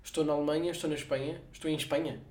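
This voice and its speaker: Casting: young male podcast co-host, European Portuguese accent, quick slangy banter, slightly high voice. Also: level tone, darkish tone. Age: young adult. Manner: slangy, swearing. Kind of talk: casual banter with a friend. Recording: roomy, echoing room sound.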